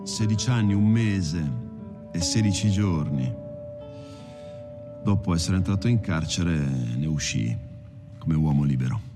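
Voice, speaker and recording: A frank, wry ex-convict in a film monologue. His lowish voice moves up and down freely. Plucked guitar music is clearly heard behind him. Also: animated, measured pace, pauses often. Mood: wry, relieved. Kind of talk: film monologue, confessional storytelling.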